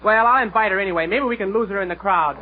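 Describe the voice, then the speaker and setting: A man's voice: sits high, racing. A man playing a naive, goofy fellow in 1930s radio comedy banter. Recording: tinny old broadcast with loud hiss.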